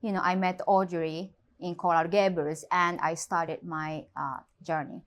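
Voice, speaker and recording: A woman in her thirties from Japan, speaking English with a Japanese accent as a real estate agent in an interview, pausing often and filling with "you know" and "uh.". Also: fairly low pitch, little pitch movement. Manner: halting non-native English with frequent fillers. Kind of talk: interview conversation.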